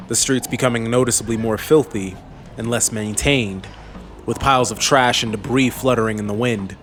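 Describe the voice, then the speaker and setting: Male storyteller, middle register, scripted fantasy audiobook narration, light music bed. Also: medium pitch.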